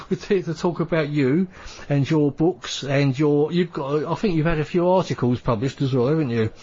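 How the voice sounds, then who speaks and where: A man from London with a London accent, hosting a paranormal podcast in a relaxed, chatty way, laughing and repeating himself. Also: on the high side, normal speed, slightly rough, highs cut off. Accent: London English. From London, England. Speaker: podcast host. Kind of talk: casual interview chat.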